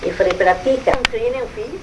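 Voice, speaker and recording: An older woman with a Brazilian accent, telling family stories in a lively way that is full of exclamations and repetition. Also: on the high side, fast talker, background noise. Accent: Brazilian Portuguese. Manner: lively storytelling, exclaims and repeats herself. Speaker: family elder recalling relatives. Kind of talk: casual family conversation.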